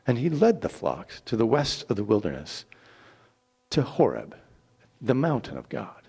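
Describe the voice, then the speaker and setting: A man giving a dramatic scripture reading, theatrical and deliberate, with dramatic pauses. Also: medium pitch, huge pitch swings, creaky, a little mellow, breathy edge. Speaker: Bible reader.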